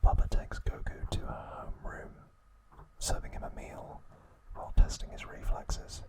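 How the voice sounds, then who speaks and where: A man speaks entirely in a breathy whisper, in the style of ASMR narration. His delivery is hushed and measured, broken into short phrases as he recaps in a storytelling way. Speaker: host of an ASMR trivia channel.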